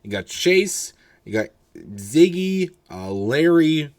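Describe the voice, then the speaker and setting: A hoarse young man casually reviewing a roller coaster, rambling with many fillers and blunt, candid asides. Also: on the high side, very animated, slow, a little mellow.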